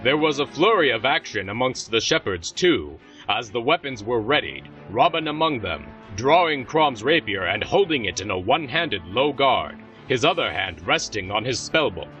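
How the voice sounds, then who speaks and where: A man's voice: mid-range voice, sing-song, normal speed, hardly any pauses, sharp tone. A man reading scripted fantasy story narration for an audio drama. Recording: slightly dull, music underneath.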